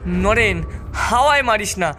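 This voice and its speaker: Guy doing a cocky young cartoon boy giving orders, high pitched, music under it. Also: animated, unhurried, bright, forceful tone, full character voice. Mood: confident. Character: scheming, confident young boy cricket batsman.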